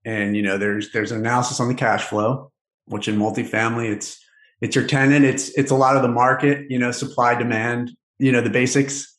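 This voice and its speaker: A man's voice: mid-range voice, level tone, brisk, a bit bright, reverberant. A man, a podcast guest, explaining investing loosely with many fillers. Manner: loose, filler-heavy, "you know". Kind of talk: casual explanatory conversation.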